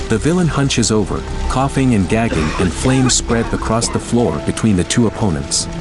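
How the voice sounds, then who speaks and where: A man narrating a scripted audio drama. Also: lowish voice. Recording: loud music and effects behind.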